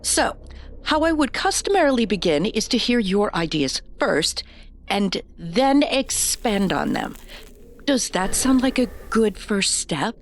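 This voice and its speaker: An actress playing a polite, professional, deferential campaign strategist in an audio drama. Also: female voice, mid-range voice, sing-song, unhurried, slight background noise. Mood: polite, eager.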